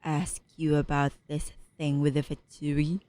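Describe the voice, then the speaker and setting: A woman's voice: sits low, little pitch movement, measured pace, dark tone, dry recording. A woman streamer giving casual gameplay commentary, playfully bantering back at the characters. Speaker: gaming streamer. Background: game music.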